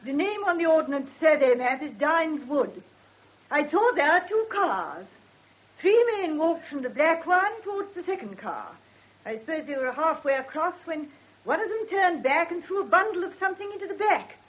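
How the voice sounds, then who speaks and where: A woman playing a precise, spirited British witness in a radio drama, prim and crisply assertive. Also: high-pitched, very animated, moderate pace. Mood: precise, brisk. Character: precise, spirited, self-assured female witness. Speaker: actor. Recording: muffled vintage radio drama recording.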